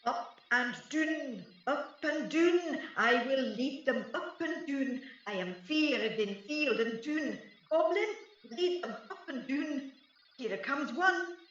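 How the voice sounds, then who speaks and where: A woman's voice: high voice, expressive, a bit bright, heavily acted. Woman playing a mischievous fairy sprite, echoing and distant. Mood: gleeful chanting. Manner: sing-song rhymed verse, drawn-out mocking calls. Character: mischievous fairy sprite, taunting and gleeful, chanting spells. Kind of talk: Shakespearean radio play acting. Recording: roomy, echoing, distant, slightly dull.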